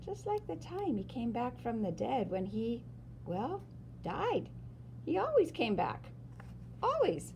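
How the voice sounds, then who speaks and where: Animated woman reading a children's story. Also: a little high, creaky, a little hiss or hum. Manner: animated, dramatic emphasis and pauses. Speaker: storyteller reading a picture book aloud. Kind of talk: expressive children's story read-aloud.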